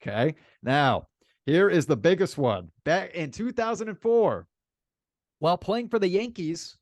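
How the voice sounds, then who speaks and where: Guy doing casual sports podcast talk, kinda higher voice, dry close sound, hammers phrases twice. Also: lively intonation, measured pace, no echo. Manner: emphatic, repeats phrases for effect.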